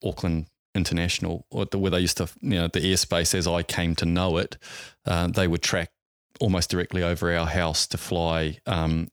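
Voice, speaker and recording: A man, a helicopter pilot telling stories as a podcast guest in a rambling, halting way full of ums and uhs. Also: deep voice, little pitch movement, clear, resonant tone, dry recording, clean studio sound. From New Zealand. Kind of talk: casual interview storytelling.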